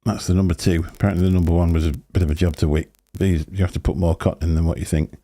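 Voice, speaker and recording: Deep gravelly man, chatty. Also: flat delivery, fast, dark tone. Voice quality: deep booming voice. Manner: rambling, chatty, chuckling to himself. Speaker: live stream host. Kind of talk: casual live stream chat. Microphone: desk microphone through a mixer.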